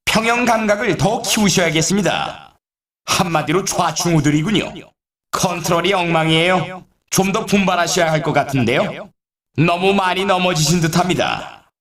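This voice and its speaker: An actor playing an excitable, teasing male snowboard race announcer in a video game, with polite, formal phrasing and playful sarcasm. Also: high voice, moderate pace, reverberant, distant mic, a crowd in the background, close to an everyday voice. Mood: teasing, critical. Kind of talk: scripted game sports commentary.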